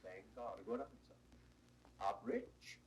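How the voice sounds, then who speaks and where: A man's voice: lowish voice, unhurried, a crowd in the background, close to an everyday voice. A man playing a witty, pompous court jester in 1960s recorded theatre, grandiose, mocking and mock-learned. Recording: distant, faintly noisy 1960s recording.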